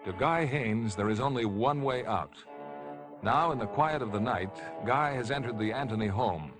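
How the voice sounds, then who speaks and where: A man narrating a 1950s radio suspense drama in a solemn, measured, portentous manner. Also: mid-range voice, animated, lightly acted. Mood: ominous. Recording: vintage radio broadcast over orchestral music.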